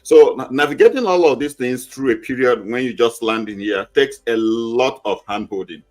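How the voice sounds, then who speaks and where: A man's voice: medium pitch, moderate pace. A man, speaking on a panel, explaining his points through concrete examples. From Nigeria. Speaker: panelist, teacher of newcomer classes.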